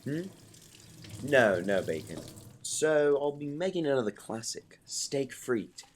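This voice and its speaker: A man's voice: medium pitch, very animated, slow pace. A man playing a genial, confident house chef in an audio drama, familiar and kindly bossy. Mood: genial, teasing.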